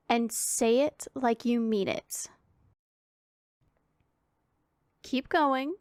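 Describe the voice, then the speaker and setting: Casting: actress as a commanding demon woman for ASMR roleplay, stern but secretly kind, measured slow pace, dry recording. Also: a little high, no room sound. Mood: stern, demanding. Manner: authoritative, formal, imperious diction. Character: stern, commanding yet secretly caring powerful female demon. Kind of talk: scripted ASMR roleplay addressed to the listener.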